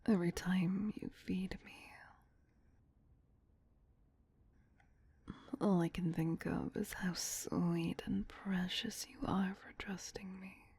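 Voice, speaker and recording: A woman voicing a tender, possessive vampire queen in a soft, breathy whisper, speaking intimately as ASMR roleplay. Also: lowish voice, flat delivery, full character voice. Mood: sated, doting. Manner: slow, intimate, doting. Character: tender, possessive vampire queen soothing her beloved pet.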